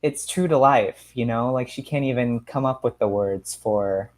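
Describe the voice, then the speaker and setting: A man's voice: mid-range voice, moderate pace, darkish tone. A man in his thirties co-hosting a podcast, chatting casually and enthusiastically over a headset on a video call. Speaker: podcast co-host. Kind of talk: casual chat.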